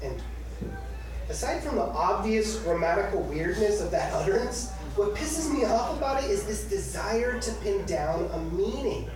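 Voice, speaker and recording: A man's voice: high voice, lively intonation, normal speed, a crowd in the background. Echoey, distant man reading aloud. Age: 30s. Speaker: author reading from own memoir. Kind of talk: live literary reading of personal essay. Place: indoor book-launch reading room with audience. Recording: echoey room from far off, faint noise.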